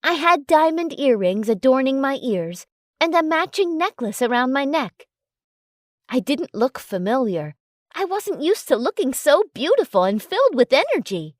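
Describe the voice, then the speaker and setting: A woman's voice: high-pitched, sing-song, moderate pace, no echo, clean studio sound. A woman reading a first-person romance story as a scripted narration.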